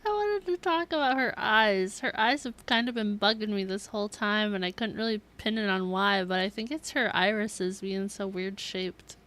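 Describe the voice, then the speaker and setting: Chatty woman streamer with a medium pitch. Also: moderate pace, hardly any pauses, a bit bright. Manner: chatty, musing aloud. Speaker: game streamer. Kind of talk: casual live gameplay commentary.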